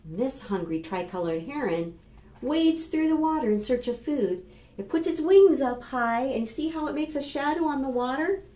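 An American woman leads storytime for young children with a fairly high, very animated delivery. Her tone is on the soft, mellow side as she explains and reads aloud clearly for little listeners. The small room adds echo, with noticeable background noise. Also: moderate pace. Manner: expressive, instructive, addressing young children with questions. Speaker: children's librarian and storytime host. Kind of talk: read-aloud and rhymes for preschoolers. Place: small plain indoor room.

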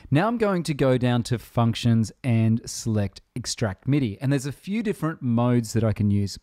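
Male software tutorial narrator, soft-edged warm tone, casual and conversational delivery. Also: medium pitch, dry recording, clean studio sound. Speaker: tutorial presenter.

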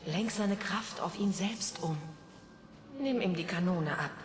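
An actress voicing a calm female guide who explains lore in a game. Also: lowish voice, unhurried, echoey room, big-room sound, music in the background, close to an everyday voice.

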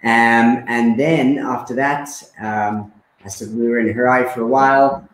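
A man's voice: medium pitch, steady tone, unhurried, echoey room, low-quality recording. A man in his thirties from Zimbabwe, a guest chatting casually with many fillers and repeats. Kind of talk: casual conversation.

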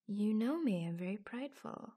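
A woman playing an affectionate, proud and reassuring secret office lover in an ASMR roleplay, speaking softly, breathily and hesitantly, often close to a whisper. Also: mid-range voice, animated, normal speed, natural voice. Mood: wistful, affectionate. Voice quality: soft, breathy. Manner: hushed, hesitant, confiding.

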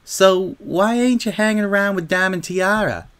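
A man voicing a warm, curious young filly with folksy country talk in a storybook reading. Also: sits high, moderate pace, smooth, voice pushed up. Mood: curious, probing.

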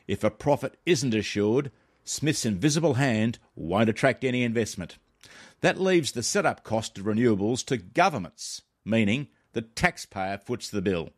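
A middle-aged Australian man reading a scripted explainer as a podcast host, with measured, emphatic phrasing. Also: lowish voice, animated.

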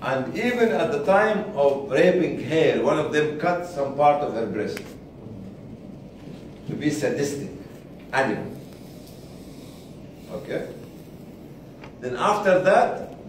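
Older guy in his 60s telling a story slowly and deliberately to a room, mic far off, faint noise. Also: fairly high pitch. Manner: deliberate, with repetition and pauses for emphasis. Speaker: speaker telling a story to a seminar group. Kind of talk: spoken storytelling to an audience. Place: medium-sized indoor seminar room. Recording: distant, very echoey room recording with faint noise.

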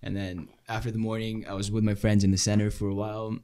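A young man from Italy in a casual podcast chat, joking and animated. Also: fairly low pitch, clear, resonant tone. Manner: fast-talking, joking, animated.

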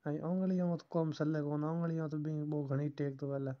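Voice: medium pitch, little pitch movement, a little fast. A caller talking casually and privately on a recorded phone call.

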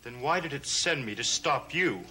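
Tormented young man, wildly varied pitch. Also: animated, moderate pace, pushed and bright. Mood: urgent, confused. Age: young adult. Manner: agitated, intense. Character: tormented young man resisting an evil destiny. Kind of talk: gothic television soap opera acting. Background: dramatic music.

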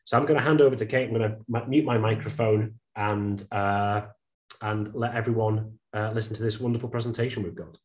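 A man's voice: lowish voice, steady tone, a little fast, highs cut off. A man in his thirties hosting a live webinar over a video call, chatty and loose, often restarting his sentences. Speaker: webinar host.

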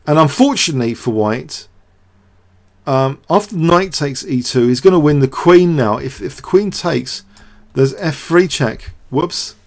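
A man's voice: a little high, animated, a little slow. A man giving off-the-cuff game commentary as a show host, hesitant and thinking aloud with repeated words.

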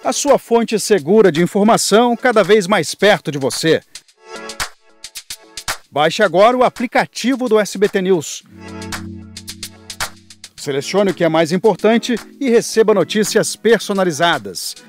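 A Brazilian male announcer voices a promo in a smooth, upbeat style, his voice pitched high. Faint music plays beneath him. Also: expressive, unhurried, long pauses. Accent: Brazilian Portuguese. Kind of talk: promotional voice-over.

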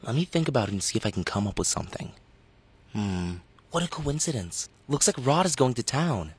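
A woman's voice: very deep voice, big pitch swings, brisk, husky, heavily acted. A woman playing a clever, bossy young boy who leads his friends in a game cutscene. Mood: thoughtful, commanding. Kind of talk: video game cutscene acting.

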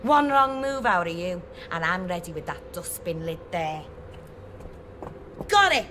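A woman's voice: lowish voice, very animated, unhurried. A woman playing a suspicious, combative British neighbour in a radio drama. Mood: suspicious, threatening. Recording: radio drama with noisy background.

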